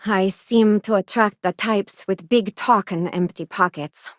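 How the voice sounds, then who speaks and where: A woman's voice: medium pitch, measured pace, no room sound, close to an everyday voice. An actress plays a friendly young woman serving on a starship crew in a sci-fi game. She is idealistic and earnest, talking expressively and conversationally. The sound is close and dry, with no room around it. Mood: earnest opinion. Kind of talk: scripted video game character voice lines.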